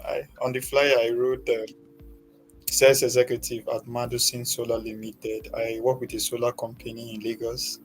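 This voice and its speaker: A young man from Nigeria with a Nigerian accent, a guest telling his life story in a soft, hesitant way with many pauses. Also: medium pitch, flat delivery, soft background music, poor audio. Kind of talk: personal story in an interview.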